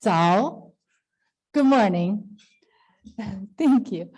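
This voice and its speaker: A middle-aged woman from Taiwan speaks English, her tongue. Her voice is mellow and warm, pitched at an ordinary height for a woman. She presents graciously over a video call, thanking her audience again and again. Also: expressive, extremely slow, breathy edge. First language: Mandarin Chinese. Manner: gracious, laughs often, thanks repeatedly. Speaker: guest speaker, Rotary district governor. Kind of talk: slide presentation to a club.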